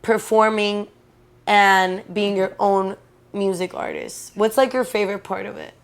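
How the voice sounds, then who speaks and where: A woman's voice: medium pitch, a little slow, vocal fry. Chatty young woman hosting a podcast. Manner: relaxed, chatty, uses "like" often. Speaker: podcast host. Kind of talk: casual interview chat.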